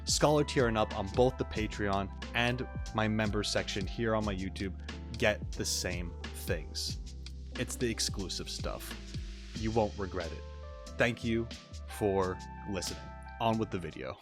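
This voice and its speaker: A man's voice: fairly low pitch, unhurried, music in the background. A man giving casual, slangy gameplay commentary as a streamer in an offhand manner. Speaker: video game streamer.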